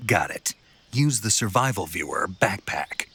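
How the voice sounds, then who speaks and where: An actor playing a battle-hardened covert soldier in a video game, gruff and husky, with a terse, sardonic deadpan. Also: male voice, fairly low pitch, unhurried, a little hiss or hum. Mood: terse, curious. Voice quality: husky, gravelly. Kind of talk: scripted game cutscene acting.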